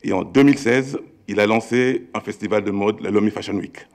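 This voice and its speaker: A man's voice: lowish voice, brisk. A middle-aged man from Congo, raised in Belgium, telling a story informally as a panelist. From Congo-born, raised in Belgium. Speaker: panelist, tech entrepreneur.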